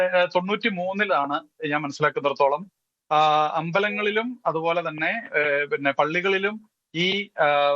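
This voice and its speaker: A man with a Malayali accent speaking as a panelist in a television debate, explaining haltingly with some English phrases mixed in. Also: a little high, sharp tone, no echo. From Kerala, India. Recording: dull, lo-fi audio.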